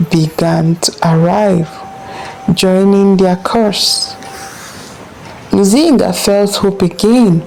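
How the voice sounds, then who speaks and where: A woman narrates a folktale in a mellow, unhurried voice. She phrases each part deliberately and leaves pauses between them. There is faint noise behind her. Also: mid-range voice, rough recording. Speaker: storyteller narrator.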